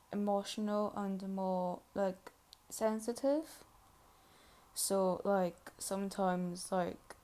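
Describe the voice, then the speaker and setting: Woman doing reaction commentary, soft dark tone, slow, kinda hesitant and repeats herself. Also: medium pitch. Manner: hesitant, hedging, repetitive.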